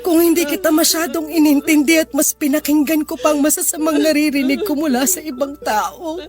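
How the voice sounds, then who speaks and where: A woman's voice: high voice, steady tone, a little fast, a little mellow, close to an everyday voice. An actress playing a loving, apologetic mother in a Filipino radio drama, speaking in a soothing, emotional way. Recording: clear music bed behind the voice.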